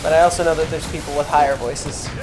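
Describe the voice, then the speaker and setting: Young male streamer in his twenties, high tenor voice, loose rambling chat, clear background noise. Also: fast. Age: 20s. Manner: relaxed, rambling, thinks aloud. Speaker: live streamer. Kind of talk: casual chat while gaming.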